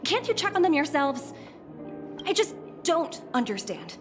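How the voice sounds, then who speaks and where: Animated, formal young executive. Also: female voice, high voice, measured pace. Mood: exasperated. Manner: formal and deferential. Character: young female corporate executive, polite, sharp, easily exasperated. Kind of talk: video game voice acting. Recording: background music behind voice.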